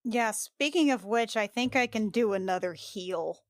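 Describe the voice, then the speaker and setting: A woman at a tabletop game talks in a casual, informal way, often halting and repeating herself. Her mid-pitched voice is recorded dry, with no room sound. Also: animated, dry recording. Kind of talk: casual in-character and table chat.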